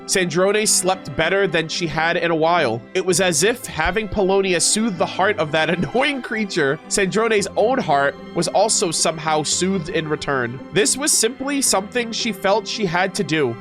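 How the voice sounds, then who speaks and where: A man streaming a reaction, reading story text aloud and breaking off into chatty, animated casual commentary. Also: high-pitched. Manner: chatty, animated asides between read passages. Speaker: streamer reacting to game lore.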